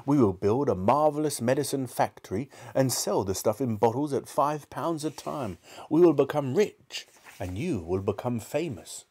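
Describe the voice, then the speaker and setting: A man voicing a wildly excited, bossy farmer father in a storybook, exclaiming emphatically and boastfully. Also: mid-range voice, normal speed, breathy edge, voice pushed up.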